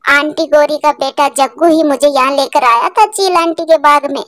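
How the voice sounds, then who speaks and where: A woman's voice: high-pitched, normal speed, fairly bright tone, dry recording. A woman voicing a small, earnest, tearful bird child who pleads, in a cartoon. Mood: pleading.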